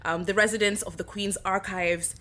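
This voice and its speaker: A woman's voice: medium pitch, fairly even intonation, moderate pace, bright, forceful tone. A woman hosting a podcast in a playful, effusive, sassy way, chatting casually with lots of slang. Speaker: podcast host.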